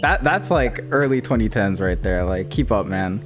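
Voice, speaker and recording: A man's voice: on the low side, animated, normal speed, music in the background. A man hosting a casual conversation, speaking hesitantly with repeated words and many "like" and "uh" fillers. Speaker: YouTuber host. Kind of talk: casual video-call conversation.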